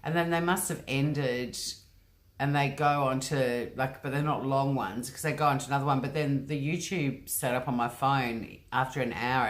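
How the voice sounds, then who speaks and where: A woman with a strikingly deep, low voice hosts a casual livestream from home, speaking into a computer mic at her desk. She chats loosely and rambles, reading out viewers' comments and filling her talk with ums and likes. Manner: chatty, rambling, often says um and like. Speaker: livestream host.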